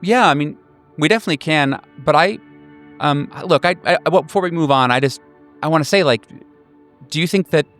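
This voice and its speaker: A man's voice: a little high, brisk, fairly bright tone, soft background music, voice pushed up, close to an everyday voice. A man playing a concerned, awkward male friend in improvised roleplay, stammering and tentative. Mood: nervous concern. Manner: stammering, tentative, repeating words. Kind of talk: improvised in-character roleplay dialogue.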